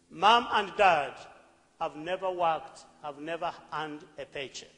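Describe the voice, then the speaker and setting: A middle-aged Ugandan man with a Ugandan accent, giving an earnest, deliberate public talk with rising emphatic bursts. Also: high voice. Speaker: speaker. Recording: echoey hall with long reverb.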